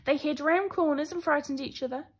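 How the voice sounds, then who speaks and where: A woman's voice: high voice, brisk. A woman teacher reading a children's story expressively, with emphatic, dramatic phrasing. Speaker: primary school teacher.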